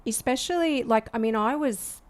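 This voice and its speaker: A woman's voice: a little high, normal speed. A woman with an Australian accent, a songwriting coach speaking reflectively with many fillers and restarts in a casual interview. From Scotland.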